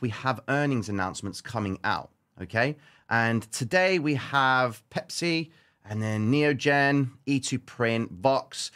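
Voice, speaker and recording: A man's voice: medium pitch, fairly bright tone. A young man from Cyprus giving casual live market commentary, chatty and informal, talking straight to his viewers on a close studio microphone. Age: late 20s to 30s. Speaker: host of a trading livestream. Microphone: close studio microphone on an arm.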